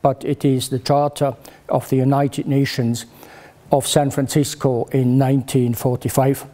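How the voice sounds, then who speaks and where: Slow, halting man presenting. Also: medium pitch, warm tone. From Switzerland. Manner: halting, hesitant delivery with repeated false starts. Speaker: former military advisor, conference speaker. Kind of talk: prepared conference presentation.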